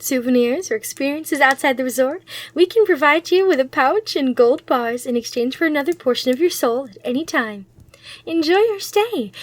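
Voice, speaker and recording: A woman's voice: sits high, natural voice. A woman voicing a sly, courteous female demon hotel receptionist in an ASMR roleplay, with reassuring customer-service patter.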